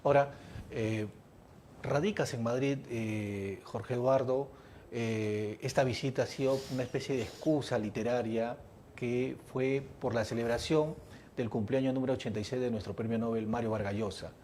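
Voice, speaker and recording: Measured interviewer. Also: male voice, medium pitch, steady tone, a little mellow, slight background noise. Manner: measured, with hesitant fillers. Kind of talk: interview question.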